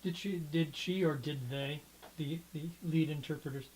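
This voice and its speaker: Male folklorist interviewer, a bit higher-pitched, hesitant and repetitive questioning. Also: moderate pace, a little mellow, a little hiss or hum, rough recording. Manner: hesitant, repeats words while framing questions. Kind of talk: oral history interview.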